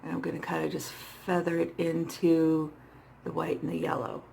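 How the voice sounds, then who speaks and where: A middle-aged woman talks through a makeup tutorial in a hoarse, fairly low voice with a gravelly fry. She is casual and playfully self-deprecating. There is noticeable background noise. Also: level tone, moderate pace, a little mellow. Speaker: makeup vlogger. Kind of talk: casual makeup tutorial chat, talking to herself while working. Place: small indoor setup with dark backdrop.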